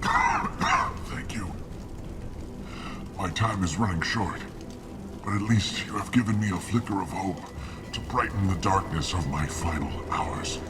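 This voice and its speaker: A man's voice: deep voice, huge pitch swings, unhurried, pauses often, recorded from a distance, loud music behind the voice, full character voice. A man voicing a dying, bitter alien plague victim in a video game, weak and feverish, speaking through labored breath and coughs. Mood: weary, grudging.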